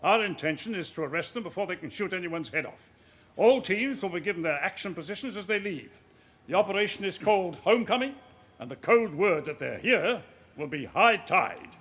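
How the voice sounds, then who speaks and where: An actor playing a senior police officer delivering a formal, clipped, authoritative briefing in a radio drama. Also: male voice, sits high, expressive, brisk, fairly bright tone. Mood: grave, authoritative. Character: commanding male senior police officer briefing his men.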